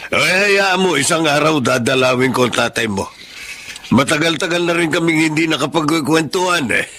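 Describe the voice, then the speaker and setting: A genial Filipino uncle in a radio drama. His voice is bright and a little high, with a chatty, friendly manner and faint ambience behind it. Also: normal speed, slight background noise. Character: genial older uncle, vulcanizing shop owner.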